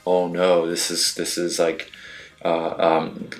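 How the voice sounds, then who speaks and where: Low-voiced man chatting as co-host. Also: monotone, slow pace, faint music behind. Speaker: podcast co-host. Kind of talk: casual chat.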